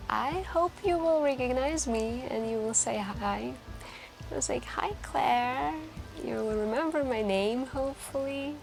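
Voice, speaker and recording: A woman playing a wistful, soft-spoken stranger in a late-night café, confiding hesitantly in an intimate ASMR roleplay. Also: a little high, gravelly, exaggerated voice. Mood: reluctant farewell. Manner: hesitant, musing, confiding, with gentle pauses. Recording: continuous quiet background music. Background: quiet background music.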